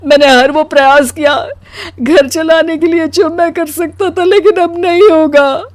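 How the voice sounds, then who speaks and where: A woman voicing a weary, put-upon, soft-hearted husband in an animated story, with a plaintive manner. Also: very high-pitched, unhurried, no echo, voice pushed up. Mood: anguished.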